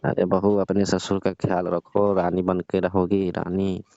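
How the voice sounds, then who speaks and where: A man acts out a lecherous older father-in-law in a staged comic phone call. The voice is low and dark, coaxing and insistent, and the audio is dull and lo-fi. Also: completely flat delivery, a little slow, vocal fry. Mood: coaxing, pleading.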